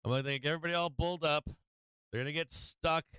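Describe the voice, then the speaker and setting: A man's voice: a little high, fairly even intonation, fast talker, sharp tone, clear, resonant tone, dry recording. Casual man hosting a trading stream. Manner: loose and profane, many fillers, laughs often. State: losing his voice. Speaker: stock market livestream host.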